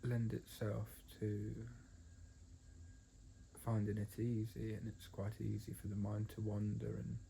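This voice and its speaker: A man teaching a guided meditation in a gentle, halting way with long pauses. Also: lowish voice, monotone, creaky, a little hiss or hum, poor audio.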